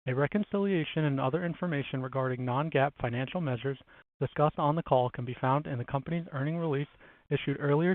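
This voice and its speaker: A man reads out legal disclaimers in a formal, scripted manner before handing the call over. His voice is mid-pitched and dry. It comes through a narrow phone-line channel. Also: no room sound. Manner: formal, reading. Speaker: call operator introducing the speaker.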